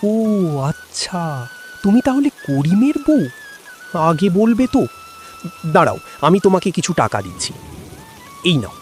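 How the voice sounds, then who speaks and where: A man's voice: high voice, very animated, a little slow, long pauses, faint music behind. A man playing a condescending, tight-fisted village headman in a cartoon story, patronizing and dismissive. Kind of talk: dramatized cartoon story acting.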